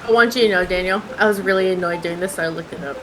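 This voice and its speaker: A woman's voice: on the low side, racing, noisy background. A woman chatting casually and colloquially alongside a game being played, a little hesitant. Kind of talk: casual chat during a game. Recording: game music behind the voice.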